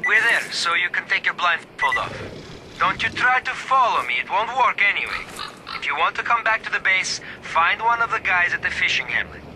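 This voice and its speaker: A man's voice: sits high, very animated, moderate pace. A man playing a curt, wary guide in a video game, speaking in a blunt, warning manner. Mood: wary, firm. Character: curt, wary male guide escorting an outsider. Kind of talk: video game character dialogue. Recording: clear noisy ambience behind the voice.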